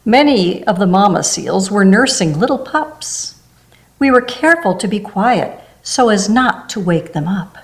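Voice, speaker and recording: A woman reading a rhyming children's picture book aloud, gentle and unhurried, with small asides between the pages. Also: mid-range voice, big pitch swings. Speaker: storybook reader.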